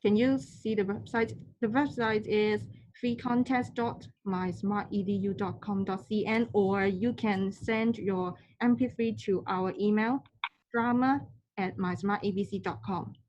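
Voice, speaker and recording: A woman moderating an online question session in a polite, formal, halting way over a slightly dull call connection. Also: medium pitch, fairly even intonation, a little mellow, a little hiss or hum.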